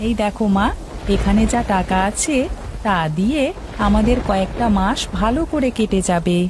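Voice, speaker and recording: A woman's voice: medium pitch, normal speed, background noise, natural voice. A woman voicing an earnest, dutiful young village boy in a cartoon story.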